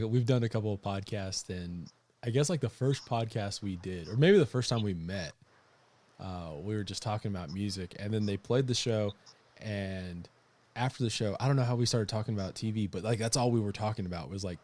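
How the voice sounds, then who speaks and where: Low-voiced podcast host, loose and hesitant. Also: male voice, normal speed, darkish tone. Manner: loose, hesitant, heavy use of "like". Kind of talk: casual interview chat.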